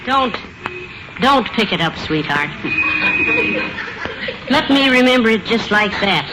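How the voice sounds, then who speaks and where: Sweet wry genteel wife, old radio comedy, highish lilting voice, noisy old recording. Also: female voice, lively intonation, slow pace. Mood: mournful. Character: gentle, genteel, wry middle-aged wife. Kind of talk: 1950s American radio comedy acting.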